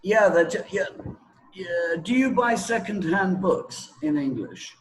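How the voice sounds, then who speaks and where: A man speaks at an unhurried pace, explaining in a conversational, teacherly way. He checks in with the listener as he goes. There is clear noise behind his voice. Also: sits high, expressive, low-quality recording. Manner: didactic, checking with "right?", "okay?", "yeah?". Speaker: interviewed language teacher.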